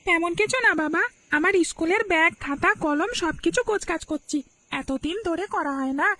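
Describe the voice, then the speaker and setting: A woman's voice: very high-pitched, normal speed. Woman voicing a polite village schoolboy. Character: young village schoolboy, eager student. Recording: soft music underneath.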